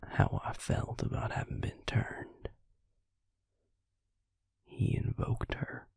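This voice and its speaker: A man playing a brooding, protective vampire lover in an intimate audio roleplay, whispering slowly and haltingly with a breathy, gravelly voice. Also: darkish tone, no room sound, clean studio sound, close to an everyday voice. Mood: bitter, confiding. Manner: slow, halting delivery with pauses.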